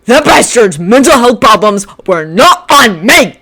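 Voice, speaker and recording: A storyteller voicing a volatile teenage boy with a short fuse. He hisses threats and yells in defense, loud and combative, and his pitch jumps around a lot. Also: sing-song, a little slow, slightly rough, exaggerated voice. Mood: angry. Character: hot-tempered teenage boy, snarling and aggressive.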